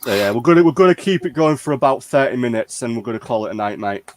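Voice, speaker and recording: A man's voice: medium pitch, quick pace, slight background noise. A man hosting a fan community chat in a casual, conversational way.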